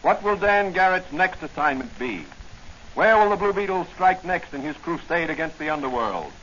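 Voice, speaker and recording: Bright, dramatic 1940s radio announcer man. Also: a little high, lively intonation, moderate pace. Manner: dramatic, declamatory. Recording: muffled, noisy 1940s radio recording.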